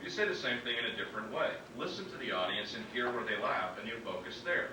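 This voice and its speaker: A man's voice: mid-range voice, sing-song, fast, natural voice. Frank, encouraging male mentor, instructive and conversational, read by an actor; distant mic in a noisy, reverberant live room. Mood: frank, reassuring. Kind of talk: conversational staged reading of a comedy script. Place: live comedy club staged reading.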